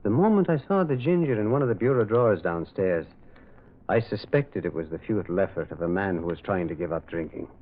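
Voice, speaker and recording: A gentle priest detective in an old radio mystery. His delivery swings widely in pitch, hesitant yet kindly as he moralizes. The sound is thin and narrow, like an old phone line. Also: animated, brisk. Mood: probing, solemn. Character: gentle, earnest priest detective, mild and thoughtful. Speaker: actor playing the lead.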